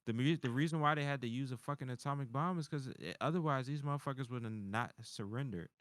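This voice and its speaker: A man's voice: mid-range voice, quick pace, a bit bright, no echo, studio quality. A man chatting casually, swearing now and then and often repeating himself as he finds his phrasing. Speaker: panelist on a nerd culture show. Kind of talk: casual chat.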